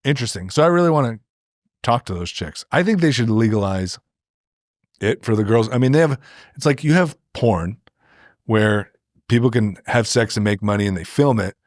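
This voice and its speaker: A man's voice: medium pitch, expressive, normal speed, no room sound, studio quality. An American man chatting casually as a podcast co-host, rambling through opinions and anecdotes and acting out imagined remarks. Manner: rambling, filler-heavy, acts out imagined remarks.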